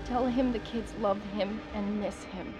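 A woman's voice: medium pitch, steady tone, unhurried, natural voice. A woman playing a hopeful old woman calling out at a seance in an audio drama. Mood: searching, hopeful. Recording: distant voice under music.

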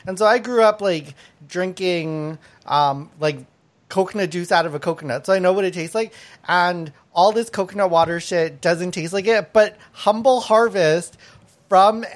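A man's voice: sits high, moderate pace. A man born in Thailand hosting a podcast in casual, laughing, blunt chat, swearing often. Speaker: podcast host.

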